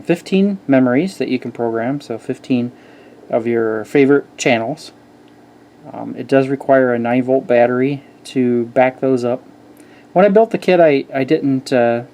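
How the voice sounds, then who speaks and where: Male hobbyist reviewer, mid-pitched, soft-edged mellow tone, halting and conversational. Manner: halting, hesitant delivery with frequent pauses. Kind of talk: casual product walkthrough.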